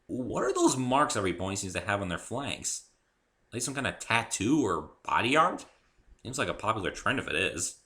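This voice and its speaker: Guy reading as a nerdy nice young dude, kinda hesitant and earnest, sharp bright voice, animated. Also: fairly low pitch, normal speed, exaggerated voice. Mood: curious. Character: polite, unsure young geeky human man.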